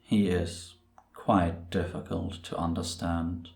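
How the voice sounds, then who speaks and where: A man playing Death as a grave, gentle reaper who speaks in a measured, deliberate way in an audio roleplay. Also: deep voice, flat delivery, creaky, full character voice. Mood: calm, reflective. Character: solemn, gentle male Death, a reaper who was once human. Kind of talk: cinematic audio roleplay acting. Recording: roomy, reverberant sound.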